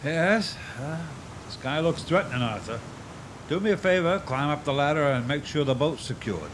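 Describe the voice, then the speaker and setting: A male actor plays a skeptical head lighthouse keeper in a radio drama. He sounds practical and in charge, with lively, swinging pitch. The voice rings with echo over faint cassette noise. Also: normal speed, close to an everyday voice. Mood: steady, practical. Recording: echoey hall reverb, faint cassette noise.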